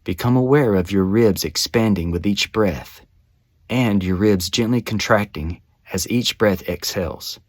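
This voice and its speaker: A man narrating a scripted guided meditation as a guide, with measured, soothing, instructional phrasing. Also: on the low side, no room sound.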